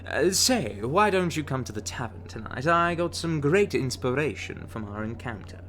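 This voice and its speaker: A man's voice: medium pitch, expressive, moderate pace, lightly acted. A man playing a charming, teasing, smitten young bard in an intimate ASMR romance roleplay, coaxing the listener. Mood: sheepish, warm. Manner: teasing, coaxing, with soft chuckles and hesitant asides.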